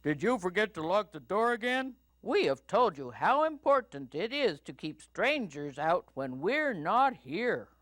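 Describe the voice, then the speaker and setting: A woman voicing a big, stern, scolding father bear with a booming voice in a children's story. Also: sing-song, measured pace, hardly any pauses, fairly bright tone, no echo, studio quality.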